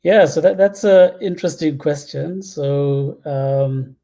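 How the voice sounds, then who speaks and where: Mellow, creaky man from Zambia. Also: fairly high pitch, slow. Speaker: interview guest, product executive. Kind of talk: casual storytelling in an interview. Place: indoor room at home, on a video call.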